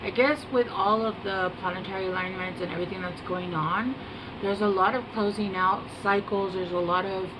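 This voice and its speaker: A woman talks her viewers through a tarot reading in a soft, unhurried voice of middling pitch. She wanders off track, pauses to search for words and fills the gaps with little hesitations. A steady air-conditioner hum runs under her voice in a room at home. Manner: soft-spoken, meandering, hesitant with many fillers. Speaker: tarot reader. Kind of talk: casual rambling tarot reading to camera.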